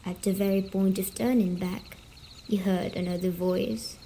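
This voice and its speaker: A woman voicing a gentle, teasing female sleep paralysis demon, speaking softly and soothingly in an ASMR story-telling roleplay. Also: mid-range voice, fairly even intonation, a little hiss or hum, close to an everyday voice. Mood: hushed storytelling. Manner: slow, soothing, coaxing, softly spoken.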